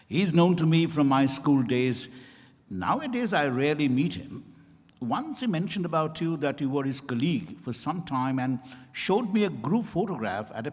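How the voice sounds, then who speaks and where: Man acting probing doctor, swooping pitch, echoey hall. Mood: probing, courteous. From India. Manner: deliberate, questioning, theatrical. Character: probing, courteous, insistent doctor of law. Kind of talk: dramatized stage reading. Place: event hall at a hotel. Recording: echoey hall with long reverb.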